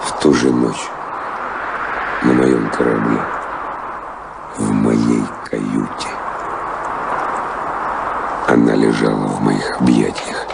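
An actor in a Russian radio play playing a weary, roguish sea vagabond who broods nostalgically over lost love. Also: male voice, extremely low pitch, flat delivery, vocal fry, heavy background noise, exaggerated voice. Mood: tender, low. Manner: slow, brooding, reminiscing, sometimes sings wistfully. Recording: music behind the voice.

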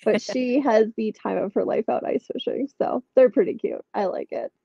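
A woman's voice: on the high side, moderate pace, vocal fry, warm tone, husky, no echo. A middle-aged woman, a podcast guest, chatting in an upbeat way and telling stories over a video call from a room at home. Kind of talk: casual interview chat. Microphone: webcam on a video call. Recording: dull lo-fi video call audio.

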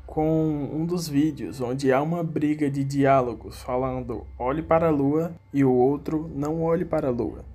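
A man's voice: fairly high pitch, dark tone. A Brazilian man hosting an online video, narrating an informal video essay on horror series. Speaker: YouTube host.

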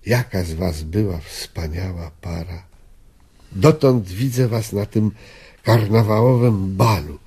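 Radio drama: melancholy, principled old man, reflective and gentle, low husky voice, unhurried delivery. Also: heavily acted. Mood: pensive, probing. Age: older. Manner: hesitant, pensive, probing.

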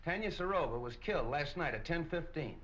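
Gruff, fast-firing police captain. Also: male voice, a little high, expressive, a bit bright. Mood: accusing. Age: middle-aged. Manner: gruff, accusing, clipped interrogator. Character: tough, suspicious middle-aged police captain. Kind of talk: 1940s crime film acting. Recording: muffled old film soundtrack.

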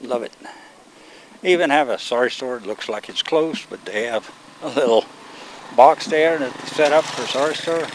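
A man's voice: medium pitch, moderate pace, a little hiss or hum, rough recording. An older man from Sweden, strained as he loses his voice, chatting casually to viewers while walking a rural road and filming on a handheld camera. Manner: relaxed, rambling, talks to viewers while walking. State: losing his voice. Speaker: vlogger. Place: outdoors on a rural road among rice fields. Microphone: handheld camera on a selfie stick.

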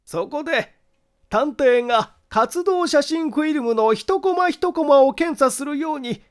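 A man's voice: very high voice, lively intonation. A man voicing a meticulous amateur detective in an audiobook, methodically and formally laying out his reasoning. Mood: methodical, confident. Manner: deliberate, formal, methodical explaining. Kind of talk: acted audiobook monologue.

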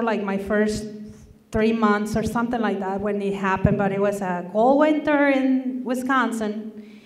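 A woman in her forties from Mexico with a Mexican Spanish accent, telling a personal story earnestly and deliberately as a panelist on a stage. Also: a little high. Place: large auditorium stage. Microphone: table microphone on stand. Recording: roomy hall, mic somewhat distant.

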